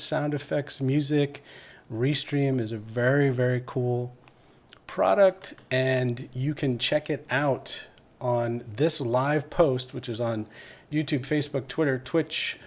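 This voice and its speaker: A man hosting a live podcast, chatting informally, plugging sponsors and interviewing guests in a casual, promotional way. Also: mid-range voice.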